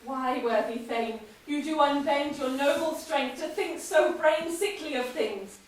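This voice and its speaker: A woman's voice: high-pitched, unhurried. An actress playing a ruthless noblewoman spurring her husband on, delivering intense Shakespearean verse on stage, recorded from far off in an echoey hall. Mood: scornful. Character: ruthless scheming noblewoman, murderer's wife. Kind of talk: stage play performance. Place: theatre stage in a large hall. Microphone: distant room mic.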